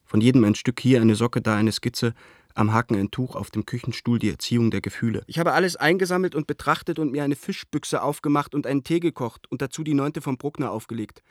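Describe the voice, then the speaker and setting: An actor playing an embittered, indignant painter, venting a letter aloud in an agitated, sardonic and articulate way for a radio play. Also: male voice, medium pitch, fairly quick, no room sound, studio quality, close to an everyday voice.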